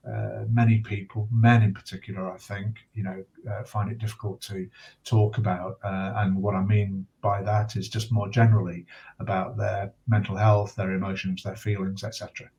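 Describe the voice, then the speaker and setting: A middle-aged or older British man speaking reflectively in conversation as a guest, earnest and measured, with hesitant pauses. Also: on the low side, level tone, vocal fry, dark tone, dull audio. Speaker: interview guest, bereaved father and campaigner.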